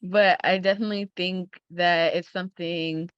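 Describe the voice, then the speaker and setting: A woman's voice: lowish voice, steady tone, moderate pace, clean tone, no room sound, lo-fi sound. A young woman conducting an interview in a casual, polite conversational style. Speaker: young film critic interviewer.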